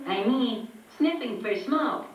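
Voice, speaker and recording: A woman voices a cheerful puppet with a fairly high, unhurried voice. The delivery is friendly and meant for children. It is heard from afar with heavy echo and music. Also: animated, muffled recording. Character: cheerful puppet smoke sniffer teaching children to crawl low. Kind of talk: children's puppet show singing and talk. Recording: very echoey, distant, loud music behind.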